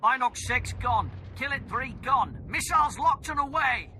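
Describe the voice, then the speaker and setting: An actor playing a strained fighter pilot calling out combat chatter in a video game. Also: male voice, extremely high pitch, expressive, moderate pace, pushed and bright, a little hiss or hum, heavily acted. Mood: desperate.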